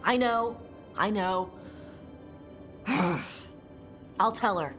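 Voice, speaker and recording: An actress playing a blunt, guarded young dragon hunter in a video game, speaking in terse, casual phrases. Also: female voice, mid-range voice, very slow, a bit bright. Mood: subdued. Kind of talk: video game cutscene acting. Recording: music behind the voice.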